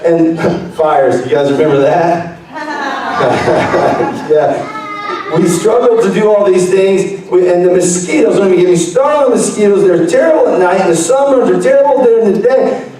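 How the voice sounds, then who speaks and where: A man's voice: high voice, animated, unhurried, warm tone, recorded from a distance. A man leading a kids' church program, joking and bantering playfully in a comic skit. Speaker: children's church program leader. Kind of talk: comic skit hosting for kids. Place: large church hall. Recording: echoey hall, picked up from afar.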